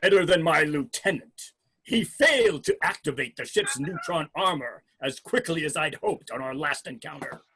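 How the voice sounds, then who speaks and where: A man playing a cold, imperious alien warlord with a husky, sardonic delivery in a script read. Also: fairly high pitch, sing-song, pushed and bright, heavily acted. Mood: cold menace. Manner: deliberate, sardonic villain delivery. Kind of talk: screenplay table read acting.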